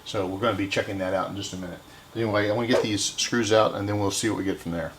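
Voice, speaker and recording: Man, creaky voice, casual repair-video host, halting unscripted delivery, slightly noisy recording with clicks. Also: on the low side, fairly even intonation. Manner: halting, thinking aloud while working.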